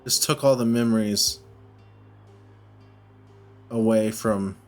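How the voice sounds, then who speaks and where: Guy with a lowish voice doing a lets play, thinking out loud in little bits, some faint music behind him. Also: fairly even intonation. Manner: musing aloud in short, halting phrases. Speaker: let's play host. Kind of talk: casual gameplay commentary.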